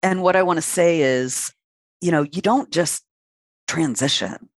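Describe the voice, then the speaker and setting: A man's voice: fairly high pitch, measured pace, no room sound, clean studio sound. Frank, reflective man in his late forties. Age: late 40s. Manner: reflective and frank, talks at length with fillers. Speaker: podcast guest. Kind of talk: candid interview conversation.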